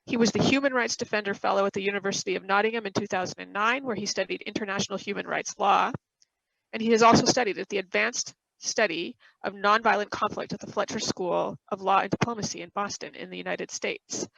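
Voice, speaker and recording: A middle-aged woman moderating an online session over a headset, reading a formal introduction in a halting, measured way. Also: medium pitch, fairly even intonation, vocal fry, a bit bright, no room sound, rough recording. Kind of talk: formal introduction read from notes. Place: room at home with bookshelves, video call. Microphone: headset microphone.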